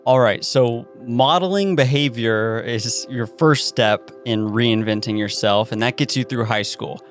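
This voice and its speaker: Brisk podcast host moving things along. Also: mid-range voice, faint music behind. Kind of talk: interview hosting.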